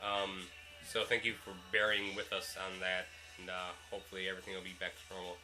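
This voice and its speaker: A man's voice: sits low, flat delivery, fast, over music, rough recording. A man, co-hosting a casual comics chat, sarcastic and dry-humored while getting over a cold. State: recovering from illness, coughing. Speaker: co-host.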